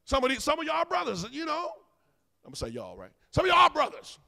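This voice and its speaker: A man, preaching a sermon as minister. He swings between loud bursts and soft asides, teasing his congregation. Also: very high-pitched, wildly swooping pitch, fairly quick, vocal fry. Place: large church sanctuary. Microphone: handheld mic. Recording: long church hall reverb.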